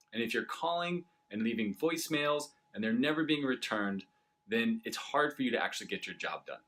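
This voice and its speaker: A man with a mid-pitched voice presents a short instructional tip as a business coach. He talks directly to the viewer in a conversational, explanatory way. Now and then he checks in with a brief rhetorical question. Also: fairly bright tone. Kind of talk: instructional sales tip to camera.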